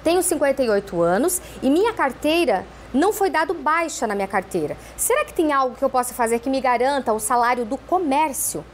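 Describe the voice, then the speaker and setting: Woman tv host reading out viewer questions, high lively voice, faint hum behind. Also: fairly quick, few pauses. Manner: reads messages in first person, plain and steady.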